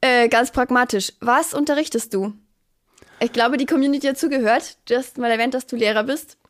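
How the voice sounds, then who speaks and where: A woman co-hosting a podcast, a singer with acting training, talking in a relaxed, reflective way and laughing easily. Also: on the high side, fairly quick. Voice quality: trained actor's voice. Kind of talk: casual podcast chat.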